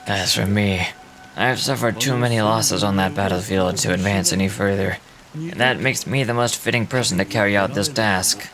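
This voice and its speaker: A man voicing a weary, solemn old guide of lost souls in a game, reading dramatically in a grave, measured, reflective way. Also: on the low side, crowd noise, lightly acted, low-quality recording. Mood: grave, resolute. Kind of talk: dramatic read-aloud of game dialogue.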